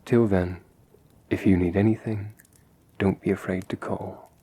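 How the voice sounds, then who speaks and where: A man acts out a young male vampire, courteous and brooding, in an ASMR roleplay. The voice is dark, mellow and husky, with little rise and fall. He sounds measured, formal and protective. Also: sits low, fairly even intonation. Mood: softly reassuring.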